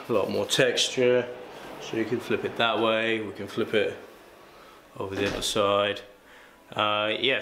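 A man's voice: fairly low pitch, a bit bright, slight background noise. A young man, reviewing a hair product in a casual, chatty vlog with plenty of ums and uhs. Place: small room indoors. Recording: echoey room with faint ambience.

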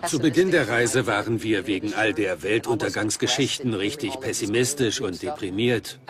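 A man's voice: lowish voice, normal speed, music in the background, lightly acted. A man speaking as a team member in a dubbed documentary conversation.

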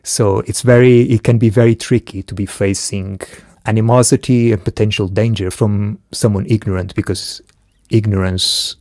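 A man's voice: deep voice, darkish tone, no echo, studio quality. A middle-aged man raised in Portugal, a filmmaker talking reflectively in a podcast conversation, with hesitant restarts.

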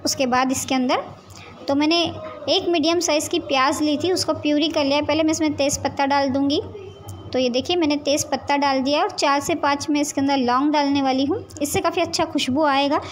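A woman hosting a home cooking channel, calmly explaining each step of a recipe to viewers as she cooks in her kitchen. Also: high-pitched, fairly quick, a little hiss or hum. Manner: calm, explanatory, addresses viewers directly with frequent "see this". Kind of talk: step-by-step cooking demonstration voice-over.

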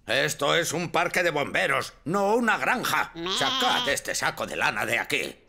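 A blustering old fire chief. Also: male voice, on the high side, very animated, moderate pace, creaky, bright, forceful tone, full character voice. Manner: blustering, commanding. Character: pompous older male fire station chief. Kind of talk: cartoon dubbing.

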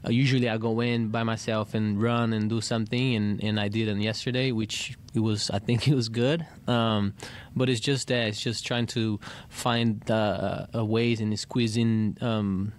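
A man in his thirties answering reporters after a game at a desk mic, halting with many fillers and restarts. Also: fairly low pitch, little pitch movement. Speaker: basketball player at press conference. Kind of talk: post-game press answers. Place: arena press room.

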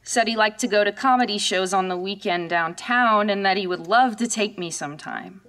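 A woman's voice: medium pitch, normal speed, a bit bright, lightly acted. A woman playing an uneasy, skeptical young woman in a horror audio drama. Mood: wistful, recounting. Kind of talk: dramatic first-person storytelling and dialogue. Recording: music under the voice.

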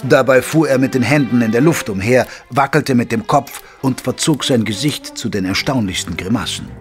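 A male actor plays a gentlemanly companion to a detective, telling the story of an audio drama. His mid-pitched voice moves in measured, literary phrases. Music plays behind him. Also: lightly acted. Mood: measured storytelling. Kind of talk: scripted narration in an audio drama.

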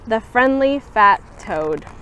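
A woman's voice: fairly high pitch, slow pace, a little hiss or hum. Young woman reading a picture book aloud. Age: young adult. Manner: expressive storybook reading with lively voiced quotes. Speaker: student volunteer reader. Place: outdoors on a campus bench.

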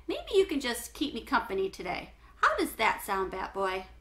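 A woman's voice: on the high side, huge pitch swings, normal speed. A woman reading a storybook as a big, friendly grown man who is reassuring, teasing and upbeat.

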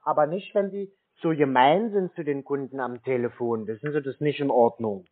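A man's voice: medium pitch, fairly quick. A man on a phone call, arguing as a dissatisfied customer in a long-winded, insistent, scolding way. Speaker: caller posing as an aggrieved customer. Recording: narrow, tinny phone-like sound. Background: phone call recording.

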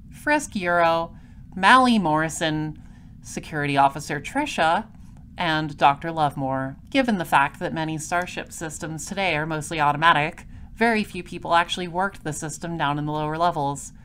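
A woman's voice: sits low, fairly bright tone, slight background noise. A woman playing a composed, droll space detective, narrating an audio drama in a dry, matter-of-fact way.

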